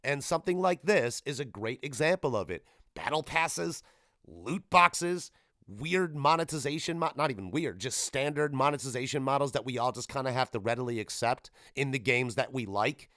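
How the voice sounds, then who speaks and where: A man with a mid-pitched voice talks casually as the solo host of a gaming podcast. He rambles along irreverently and swears freely. The recording is dry, with very little room sound. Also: expressive, normal speed, a bit bright, dry recording.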